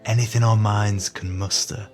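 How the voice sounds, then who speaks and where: A man's voice: lowish voice, steady tone, moderate pace, faint music behind, close to an everyday voice. An actor playing a greedy, sly male swindler in an audio drama, with pompous, wordy, formal speech. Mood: impatient, scheming.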